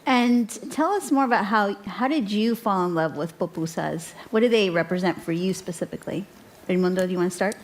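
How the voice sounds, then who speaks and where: A woman moderates a live panel, asking friendly, curious questions. Her voice sits at a middle pitch for a woman. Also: brisk, poor audio.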